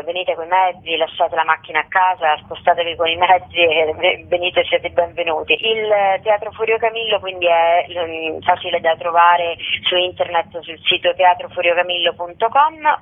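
Woman on a tinny phone line. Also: on the low side. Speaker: festival curator being interviewed. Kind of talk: informal radio phone interview.

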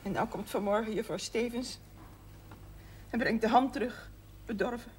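Older shopkeeper mother for TV drama, played by a woman: highish voice, proud, plaintive, slightly noisy vintage soundtrack. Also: moderate pace, lightly acted. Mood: proud, defensive. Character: proud, upset widowed mother running a struggling shop. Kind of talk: naturalistic television drama acting. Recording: noisy 1970s television soundtrack.

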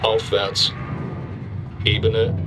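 An impassive elevator machine in a radio drama. The lowish voice is completely flat and unhurried, sounding distant beneath loud music. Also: male voice. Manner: monotone, deliberate.

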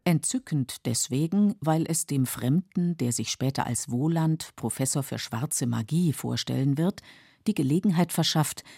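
A woman with a mellow, husky voice reading scripted documentary narration. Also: low voice, lively intonation, moderate pace, dry recording, studio quality.

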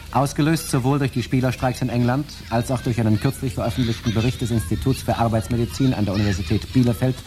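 An actor playing a formal, measured television presenter in a scripted radio drama. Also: male voice, medium pitch, dark tone, slight background noise, lightly acted. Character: formal male television sports presenter and marketing consultant.

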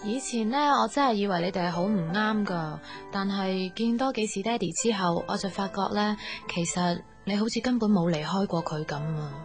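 Actress as a young Hong Kong daughter, music behind. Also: female voice, mid-range voice, moderate pace, hardly any pauses, lightly acted. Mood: teasing, earnest. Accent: Hong Kong Cantonese. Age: young adult. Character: young daughter back from America, gentle, wistful, affectionate. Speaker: voice actor in a radio drama. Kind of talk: radio drama monologue and dialogue.

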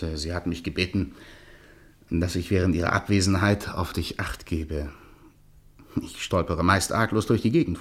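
An actor playing a strict, jealous guardian in a radio drama, stern, sarcastic and lecturing. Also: male voice, deep voice, echoey room, close to an everyday voice. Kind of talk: theatrical radio drama acting.

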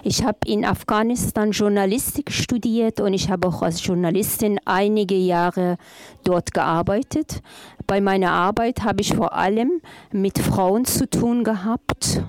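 A woman interpreting at a panel talk in the first person, haltingly, often repeating and rephrasing her phrases. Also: mid-range voice, fairly even intonation, darkish tone, no room sound.

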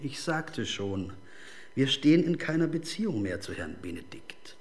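An actor playing a smug, evasive music manager in a radio crime drama, coldly businesslike, formal and condescending. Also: male voice, mid-range voice, big pitch swings, normal speed. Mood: condescending, cool.

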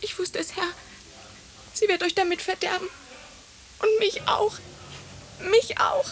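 A woman's voice: very high voice, fairly even intonation, unhurried, a bit bright. An actress playing a terrified young servant woman, pleading in panic in an old radio drama. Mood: frightened. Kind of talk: mid-century German radio drama acting. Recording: noisy vintage 1950s radio recording.

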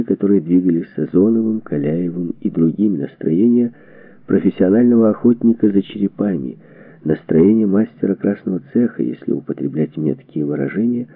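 A man narrating a historical book from a script, with measured, deliberate phrasing and pauses. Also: lowish voice, vocal fry, no echo. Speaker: audiobook narrator. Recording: band-limited, tinny phone-like sound.

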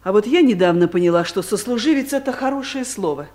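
An actress playing a stern, commanding female director in a radio play, formal and categorical, with measured phrasing. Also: medium pitch, lively intonation, a little mellow, natural voice. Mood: measured, instructive. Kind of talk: Soviet radio play acting.

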